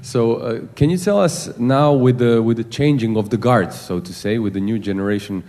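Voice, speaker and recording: A man from the audience putting a question to a speaker, hesitant and halting. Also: medium pitch, a little mellow. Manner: hesitant, many fillers and restarts. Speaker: audience member asking a question.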